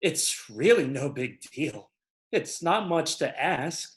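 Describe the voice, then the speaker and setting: A man's voice: on the high side, very animated, slow pace. A man voicing Rusty, a surly, crude tough guy, in a livestreamed script reading. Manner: gruff, crude.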